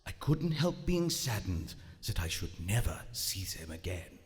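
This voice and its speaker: A man playing a proud German WWI submarine commander slipping into madness, narrating formally and deliberately in a live radio drama. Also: deep voice, very animated. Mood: troubled, mournful. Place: large theater stage. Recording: live theater stage, long reverb, music underneath.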